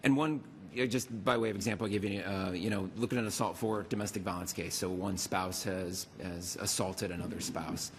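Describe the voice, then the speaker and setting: Low-voiced hoarse man, fast talker. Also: steady tone, a little hiss or hum. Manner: fast, halting with many fillers and restarts. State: hoarse from a cold. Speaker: city attorney's office lawyer. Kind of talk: committee presentation. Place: city council meeting room.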